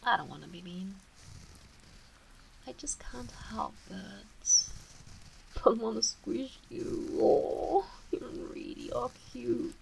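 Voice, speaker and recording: A woman voicing a teasing, doting kitsune witch in an intimate ASMR roleplay, cooing softly and often dropping into whispers. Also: a little high, takes it slowly, creaky, heavily acted, low-quality recording. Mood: affectionate, apologetic.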